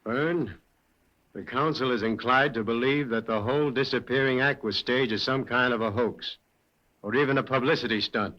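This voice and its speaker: An actor playing a brisk police inspector in an old radio drama. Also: male voice, mid-range voice, natural voice. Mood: grave. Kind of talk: 1940s radio acting. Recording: dull old radio recording.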